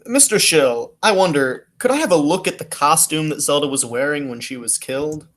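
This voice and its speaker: An actor playing a serious, businesslike male police detective in a 1940s-style radio play, reasoning deliberately. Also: a little high, lively intonation, fairly bright tone.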